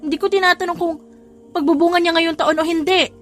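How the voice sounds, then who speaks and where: A woman's voice: very high-pitched, fairly even intonation, quick pace, fairly bright tone, pitched above the performer's own voice. A woman voicing a bossy, irritable pregnant vampire wife in an audio drama, scolding and demanding, with music behind her. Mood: irritated, demanding. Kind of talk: melodramatic audio drama acting.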